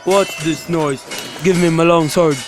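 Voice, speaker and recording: A man acting as a blustering, indignant old lord in a student radio play. The male voice runs somewhat high and is spoiling for battle. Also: fairly even intonation, a little slow, background noise, natural voice. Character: blustering old lord Capulet, quick to fight.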